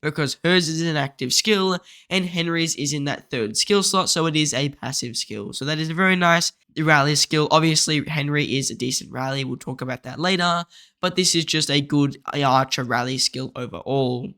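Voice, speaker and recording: A man's voice: on the high side, moderate pace, pushed and bright. A man with a blocked nose and a nasal, congested voice, steadily and methodically explaining a game guide in a voice-over.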